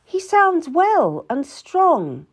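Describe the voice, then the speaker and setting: A woman's voice: extremely high pitch, wildly swooping pitch, extremely slow, pitched above the performer's own voice, full character voice. A woman storyteller voicing a proud, bitter, wronged queen who speaks imperiously and spits out her words with emphasis. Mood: drowsy, curious.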